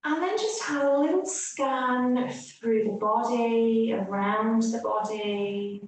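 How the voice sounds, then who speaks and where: Slow woman guiding relaxation in echoey room. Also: a little high. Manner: gentle, hushed phrase endings, long pauses. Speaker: yoga nidra teacher. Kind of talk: guided relaxation meditation. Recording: echoey room, distant mic, faint hiss.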